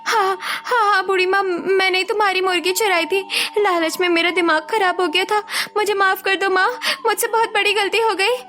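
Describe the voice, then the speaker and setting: A woman playing a female character in a magical animated moral tale. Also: very high voice, normal speed, hardly any pauses. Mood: remorseful pleading. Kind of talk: animated moral story acting. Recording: music behind the voice.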